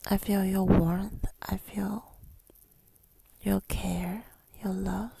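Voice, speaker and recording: Woman, tender ASMR whisper, very creaky, soft dark tone, breathy, hesitant, affirming, close mic. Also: mid-range voice, flat delivery, drawn-out words, no echo. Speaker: ASMR creator speaking to listeners. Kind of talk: intimate ASMR monologue, mostly whispered.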